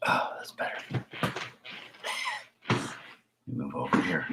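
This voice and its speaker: A man with a raspy voice hosting a casual live stream as a filmmaker, rambling in a chatty way and sometimes dropping to a whisper. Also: very deep voice, heavy background noise. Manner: hushed at times, drifting into whispers; chatty, with fillers and restarts.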